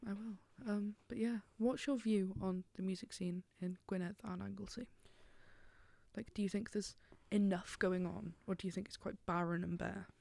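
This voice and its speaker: Woman host with a gravelly voice. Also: mid-range voice, steady tone, normal speed, darkish tone, no echo. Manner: enthusiastic, agreeing often. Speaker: podcast host. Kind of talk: casual interview chat.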